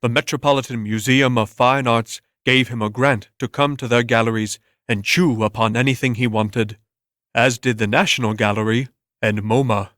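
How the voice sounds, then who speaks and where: A man's voice: medium pitch, fairly even intonation, moderate pace, a bit bright, no echo. Male story narrator, dry close sound.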